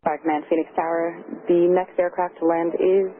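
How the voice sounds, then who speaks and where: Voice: medium pitch, level tone, moderate pace, dry recording. Clipped, procedural tower controller over radio. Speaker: air traffic controller. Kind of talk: formal radio phraseology. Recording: narrow crackly air traffic radio.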